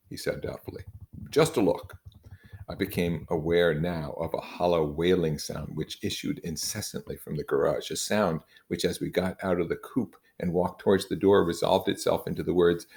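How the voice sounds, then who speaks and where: A man reading a novel aloud in a measured, informal way, leaning on certain phrases for emphasis. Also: sits low, gravelly, a little mellow. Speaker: reader.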